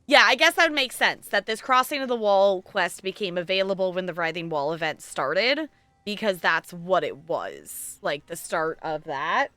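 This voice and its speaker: A woman's voice: on the low side, animated, moderate pace, bright, forceful tone, dry recording. A woman chatting casually as she live streams a game, rambling in a playful, self-deprecating way. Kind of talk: casual gaming stream chat. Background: music.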